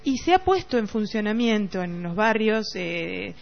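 A woman's voice: medium pitch, sing-song, measured pace, gravelly. A middle-aged woman with a Rioplatense Argentine accent, talking reflectively and casually as a radio host, often searching for words. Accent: Rioplatense Argentine Spanish. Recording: dull lo-fi radio recording, music behind. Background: music.

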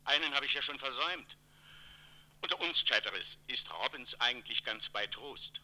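A man's voice: medium pitch, fairly quick, background noise. A man acting in a German radio play as a brusque, impatient head office official with dry mockery, heard through a telephone filter. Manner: impatient, dryly mocking. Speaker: radio drama actor.

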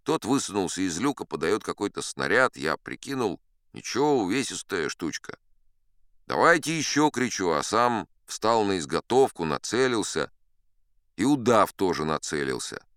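Male actor as an old braggart sea captain, smooth deep voice, dry close studio sound, chatty tall-tale teller. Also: very animated, normal speed, fairly bright tone, no echo, full character voice. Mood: boastful, confiding. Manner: chatty, confiding asides to the listener. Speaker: audiobook narrator. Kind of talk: first-person storytelling in an audio play.

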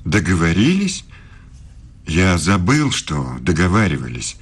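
An actor playing a self-assured, evasive professor and secret lover in a radio play, speaking formally and pedantically. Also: male voice, low voice, huge pitch swings, a little slow, husky, heavily acted.

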